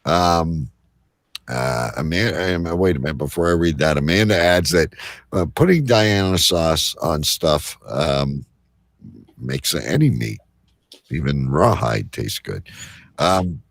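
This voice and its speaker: Male host, low register with lots of movement, loose rambling live-show banter, close dry sound. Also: expressive, a little slow, creaky, a bit bright, no echo. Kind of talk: casual live show chat.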